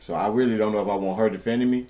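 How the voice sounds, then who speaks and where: A man's voice: lowish voice, fairly even intonation, racing, mellow. A young adult man from Chicago co-hosting a podcast, teasing the others with dry wisecracks in casual chat. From Chicago, USA. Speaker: podcast co-host.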